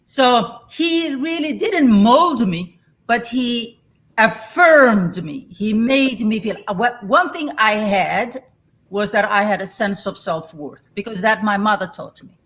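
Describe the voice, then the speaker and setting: A woman's voice: a little high, animated, slow, fairly bright tone. Candid older woman from Somalia. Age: 60s. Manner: candid storyteller who laughs easily. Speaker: guest. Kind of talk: reflective interview conversation.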